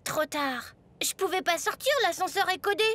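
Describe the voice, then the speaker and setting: A woman voicing a plucky, quick-witted schoolboy hero in a cartoon, boyish and expressive. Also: very high voice, very noisy. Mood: urgent. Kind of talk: French cartoon dubbing.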